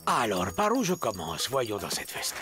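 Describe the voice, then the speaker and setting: Wildly swooping cartoon schemer. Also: male voice, fairly low pitch, wildly swooping pitch, normal speed, a loud crowd. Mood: scheming, irritated. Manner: grandiloquent, muttering and exclaiming. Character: theatrical, scheming male charm peddler, grumpy and unlucky. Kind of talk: animated cartoon acting. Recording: music under the voice.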